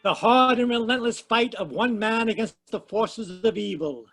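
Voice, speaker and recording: A man's voice: high-pitched, moderate pace, gravelly, fairly bright tone, faint music behind. A man announcing a vintage radio show in an emphatic, declamatory 1940s style. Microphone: home microphone on a video call.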